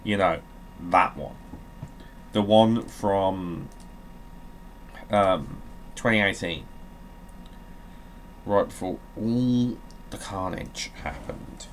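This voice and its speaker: A man with a fairly low voice hosts a gaming video in a casual, joking way. He slips between offhand chat and theatrical reading, and there is some noise in the recording. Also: drawn-out words, long pauses. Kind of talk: casual game commentary with dramatic readings.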